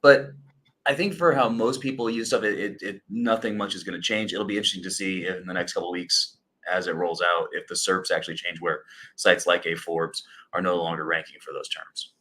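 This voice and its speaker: A man answering as a guest in a webinar, relaxed and conversational. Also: deep voice, a little fast, low-quality recording. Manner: relaxed, conversational with "you know". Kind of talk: casual expert answers.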